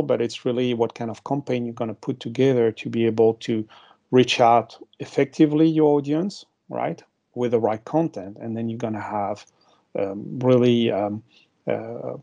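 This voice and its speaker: A man's voice: mid-range voice, vocal fry, warm tone. A man with a French accent, a marketing executive answering interview questions with many hesitations and restarts.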